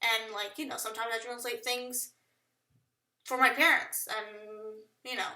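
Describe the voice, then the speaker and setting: A woman's voice: on the high side, steady tone, unhurried, sharp tone, reverberant, far from the mic. A young woman from Portugal rambling self-consciously to the camera in a casual vlog, laughing often as she thinks aloud. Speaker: vlogger.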